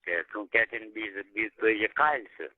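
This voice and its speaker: A man's voice: lowish voice, moderate pace. A man chatting casually and privately on a phone call. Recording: muffled tinny phone call recording.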